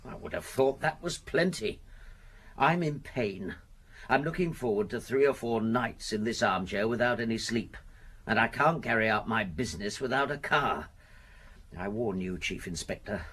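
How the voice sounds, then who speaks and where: A man's voice: fairly low pitch, lively intonation, moderate pace, a bit bright, slightly rough. An actor playing a grumpy, indignant businessman with gout in a radio drama, peevish and self-important. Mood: aggrieved, blustering.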